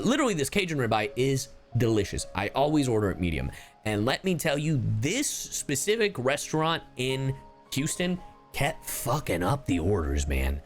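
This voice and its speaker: A young American man casually commentating as a game streamer, slangy and sweary. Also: mid-range voice. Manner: animated, slangy storytelling with profanity.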